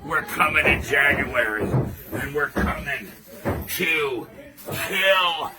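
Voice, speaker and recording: A man, a pro wrestler, taunting and shouting threats in a promo. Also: on the high side, lively intonation, slow, over loud music, low-quality recording. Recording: loud background noise.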